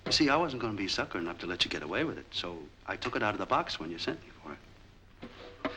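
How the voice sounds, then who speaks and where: Shrewd street peddler, 1940s noir film, lively pitch, sharp tone, faint soundtrack noise. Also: male voice, quick pace, exaggerated voice, poor audio. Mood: wry, matter-of-fact. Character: shrewd, forgiving street peddler with a lame leg, long in hiding.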